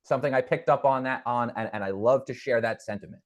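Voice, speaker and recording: A man's voice: medium pitch, fast. Earnest man with a stutter. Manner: stutters with repeated words; reflective, earnest storytelling. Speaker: podcast guest. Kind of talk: conversational interview.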